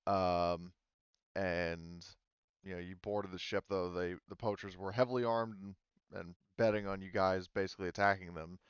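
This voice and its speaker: A man narrates a tabletop roleplaying session as game master. His voice is on the low side and very smooth, with halting, off-the-cuff phrasing. The recording is close and dry. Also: steady tone, dry recording, muffled recording.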